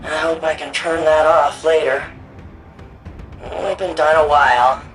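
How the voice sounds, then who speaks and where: A woman's voice: sits low, fairly even intonation, measured pace, soft background music, rough recording. An actress playing a weary, wry young woman hacker in a sci-fi game, talking casually and rambling a little. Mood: gentle, awkward. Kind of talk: video game dialogue.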